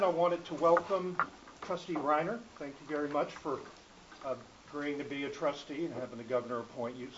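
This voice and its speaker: A man chairing a college board meeting, casual and a bit halting. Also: mid-range voice. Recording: dull noisy meeting recording.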